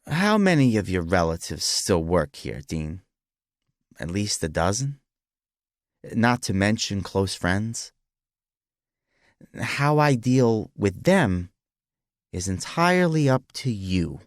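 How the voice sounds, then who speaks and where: Man as a low-voiced, confident young tycoon. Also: very animated, no echo, clean studio sound, full character voice. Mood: stern, menacing. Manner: firm, deliberate, commanding. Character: ruthless, confident young businessman, about thirty, stern.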